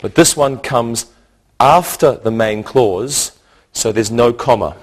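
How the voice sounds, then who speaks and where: Guy teaching english grammar, really slow and deliberate, breaks everything into short bits, normal pitch. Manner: deliberate, didactic, spells out each point in short phrases. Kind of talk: slow, scripted grammar lesson.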